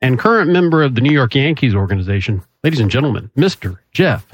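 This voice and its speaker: A man hosting a call-in radio talk show, brisk, chatty and joking. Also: medium pitch, expressive, breathy edge, no room sound. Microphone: studio microphone. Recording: slightly dull broadcast audio.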